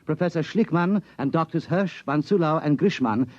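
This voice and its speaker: An actor playing a dutiful army captain, formal and brisk as he reports in a radio drama. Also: on the high side, expressive, no room sound, close to an everyday voice. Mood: brisk, businesslike. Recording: dull, dry vintage radio recording.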